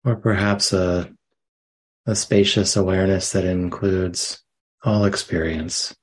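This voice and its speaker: A man leading a guided meditation as a teacher, giving soft, gentle instructions with long pauses. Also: low voice, level tone, breathy edge.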